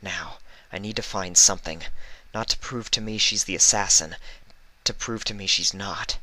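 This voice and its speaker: Man doing a high, flustered girl unicorn. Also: pushed and bright, no room sound, rough recording. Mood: quietly muttering. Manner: awkward, halting, flustered. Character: evasive, awkward young female unicorn pony.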